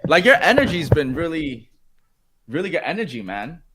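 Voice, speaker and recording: A man's voice: a little high, big pitch swings, moderate pace, bright, forceful tone. A man co-hosting a casual live chat, playful and chatty, often jumping in with questions. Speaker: co-host.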